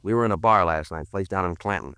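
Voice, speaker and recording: An actor playing a terse, deadpan American police detective who narrates a 1950s radio crime drama. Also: male voice, low-pitched, fast talker, smooth, dry recording, studio quality. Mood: stern, pressing. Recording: vintage 1950s radio recording.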